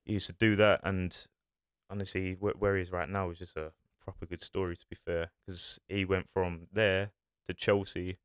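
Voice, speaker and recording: A man's voice: sits low, level tone, normal speed, a bit bright, clean tone, no room sound. A young man, a podcast guest, chatting casually and loosely about his past in a small studio, on a desk mic. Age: young adult. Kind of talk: casual chat. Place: small studio with acoustic foam panels. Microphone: desk-mounted podcast mic.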